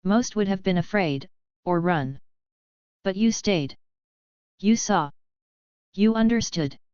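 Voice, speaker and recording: A woman voicing a controlled, brooding male mafia boss in a dramatic story, quietly and deliberately. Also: medium pitch, lively intonation, clear, resonant tone, dry recording, lo-fi sound. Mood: quiet admiration. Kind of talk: dramatic audiobook character reading.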